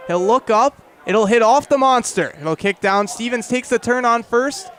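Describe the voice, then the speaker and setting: A man's voice: extremely high pitch, normal speed, a bit bright. A young man doing live radio baseball play-by-play, with vivid descriptive calls that rise with the action. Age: young adult. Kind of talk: live radio sports commentary. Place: outdoors at a ballpark.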